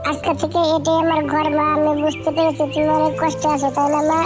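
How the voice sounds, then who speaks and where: Clingy little orphaned bird daughter, woman performing, high voice, fast cartoon delivery. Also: flat delivery, over music, lightly acted. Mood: relieved, devoted. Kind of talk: children's cartoon story dialogue.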